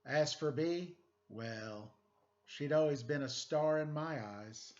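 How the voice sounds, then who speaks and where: A man's voice: fairly high pitch, slow, darkish tone. A man reading a children's story aloud expressively, with casual explanatory asides for young listeners. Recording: dull lo-fi sound.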